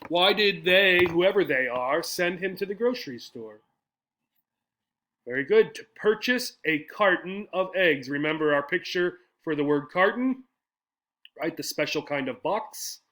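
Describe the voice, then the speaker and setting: Higher-voiced man teaching an English lesson. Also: expressive, measured pace, pushed and bright. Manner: didactic, checking understanding with questions and tags like right and okay. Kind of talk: explanatory English lesson with poem reading.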